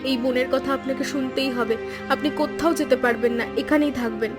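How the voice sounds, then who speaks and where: A woman playing a high-voiced, insistent cartoon wife. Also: steady tone, fast talker, over music, natural voice. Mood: insistent, pleading. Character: caring, insistent wife, sisterly hostess. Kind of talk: Bengali animated story dialogue.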